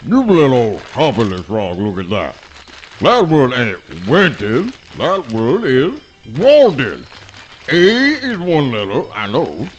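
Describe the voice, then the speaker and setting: A man's voice: mid-range voice, huge pitch swings, slow. A man playing a boastful, scolding schoolboy critter in a comic radio play, on a muffled, noisy recording.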